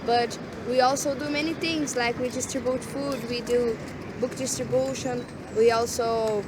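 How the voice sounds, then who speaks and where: A woman's voice: a little high, fairly even intonation, normal speed. A young Brazilian woman speaking earnest, simple English with a Brazilian Portuguese accent, Portuguese being her first language, in a casual street chat. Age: young adult. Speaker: Hare Krishna devotee. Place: busy city street outdoors. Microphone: hidden recorder. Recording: loud street noise, secret recording. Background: loud traffic.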